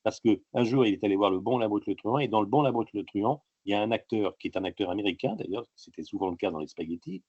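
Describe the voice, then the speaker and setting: A man with a husky, fairly low voice, talking in a halting way and drifting from one tangent to the next, with plenty of filler sounds. The sound is dry and close, with a slightly dull, lo-fi quality. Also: lively intonation, darkish tone, dry recording. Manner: halting, digressive storytelling full of filler sounds. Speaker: author being interviewed. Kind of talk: casual interview talk.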